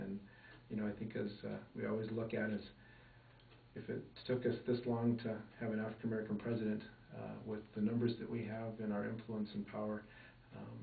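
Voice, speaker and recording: Man, gravelly, measured and thoughtful, interview answers, distant mic, phone-like sound. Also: on the low side, slight background noise. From Minnesota. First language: English. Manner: thoughtful, measured, many fillers. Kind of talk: oral history interview. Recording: echoey room, far mic, phone-like band.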